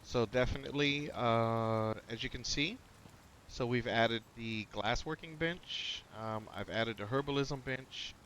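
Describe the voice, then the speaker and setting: A man's voice: medium pitch, unhurried, fairly bright tone, a little hiss or hum. A man giving casual gameplay commentary on a headset mic, slightly nasal, rambling with fillers and restarts. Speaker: gaming channel host. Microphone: noise-cancelling headset.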